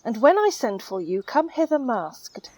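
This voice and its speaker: High-voiced commanding noble mother. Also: very animated, a little slow, darkish tone, dry recording. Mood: composed, commanding. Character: authoritative noblewoman, mother and head of household. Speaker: actor in an audio Shakespeare play. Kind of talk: Shakespearean radio play acting.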